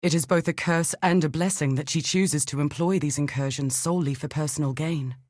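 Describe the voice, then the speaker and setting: Low-voiced, scholarly game heroine. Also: female voice, fairly quick, a little mellow, no room sound, clean studio sound. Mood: pensive, concerned. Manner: precise, formal, articulate, thoughtful. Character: bookish, earnest female archaeologist and scientist, measured and analytical. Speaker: video game character. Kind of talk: scripted video game dialogue.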